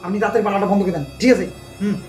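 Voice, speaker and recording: A man's voice: sits high, steady tone, racing, darkish tone, soft background music. A man doing domestic comedy banter as a teasing, complaining husband. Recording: very noisy home-video sound.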